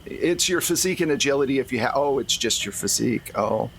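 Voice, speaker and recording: A man's voice: medium pitch, animated, a little fast, fairly bright tone, low-quality recording. A man acting as game master, narrating a tabletop role-playing game to the players. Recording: faint background noise.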